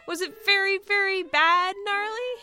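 A woman's voice: extremely high pitch, measured pace, pushed and bright, faint music behind, pitched above the performer's own voice, full character voice. A woman voicing a fussy, anxious male alien who pities his friend effusively in a story reading. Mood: anxious pity. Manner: effusive, solicitous, overdramatic.

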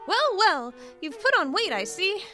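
A woman's voice: very high-pitched, very animated, a little slow, over music, pitched above the performer's own voice, heavily acted. A woman voicing a fussy, anxious male alien who pities his friend effusively in a story reading. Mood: gushing, teasing. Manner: effusive, solicitous, overdramatic.

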